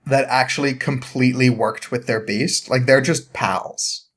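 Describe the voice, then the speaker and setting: A man's voice: medium pitch, fairly even intonation, unhurried, fairly bright tone. A young man recounting a story in casual chat as a podcast guest, informal and prone to chuckling.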